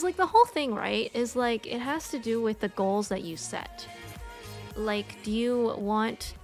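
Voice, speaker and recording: A woman from the United States chatting casually on a stream, rambling with many fillers and restarts. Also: mid-range voice. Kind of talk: casual chat.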